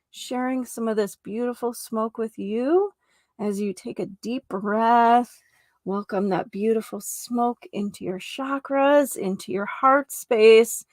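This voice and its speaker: A woman hosting a spiritual healing show, explaining casually and earnestly to camera with informal asides, her voice creaky with vocal fry. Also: medium pitch, a bit bright, no room sound, clean studio sound. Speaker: show host and energy healer.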